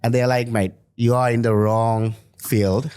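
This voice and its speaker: A man in his thirties from Sri Lanka talks as a podcast guest at a mid-range pitch. His speech is halting and casual, padded with fillers and restarts. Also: fairly even intonation, a little mellow, smooth. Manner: halting, many fillers like "you know" and "like". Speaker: guest, founder. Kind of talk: casual interview talk.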